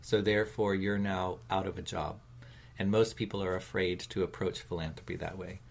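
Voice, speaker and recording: A man hosting a talk show, explaining ideas in a deliberate, conversational way. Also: low voice, fairly even intonation, creaky. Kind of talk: conversational discussion.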